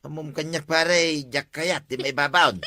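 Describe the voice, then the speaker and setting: A blunt village buddy played by a man in a comedy audio drama, mocking and dismissive. His voice is bright and forceful, recorded very dry. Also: fairly high pitch, steady tone, normal speed, no echo. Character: blunt, mocking village pal at the tricycle stand. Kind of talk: comedy radio drama acting.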